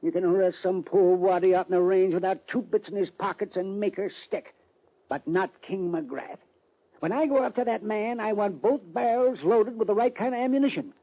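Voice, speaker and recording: A man playing a stubborn, gruff old sheriff in a radio western. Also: high-pitched, moderate pace. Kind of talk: 1940s-50s radio western acting. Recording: muffled old-time radio recording.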